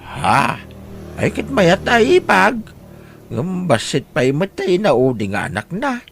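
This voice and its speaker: A man's voice: sits high, wildly swooping pitch, slow pace, fairly bright tone, heavily acted. A man playing a humble older farmer and grandfather, talking deferentially in an audio drama. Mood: worried, humble. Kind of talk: conversational audio drama acting. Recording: clearly noisy background.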